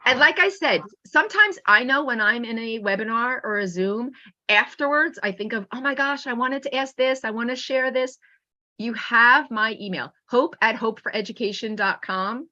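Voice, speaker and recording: A woman teacher giving an informal webinar talk with anecdotes in an animated manner, acting out remarks as she goes. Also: a little high, moderate pace, muffled recording. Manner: animated, acts out pupils' remarks. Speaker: teacher and author presenting a webinar.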